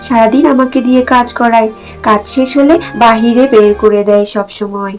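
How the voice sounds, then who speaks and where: A woman's voice: fairly high pitch, steady tone, a little fast, faint music behind, lightly acted. A woman voicing a meek, mistreated young girl in a cartoon story, plaintive and earnest. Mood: sad, helpless.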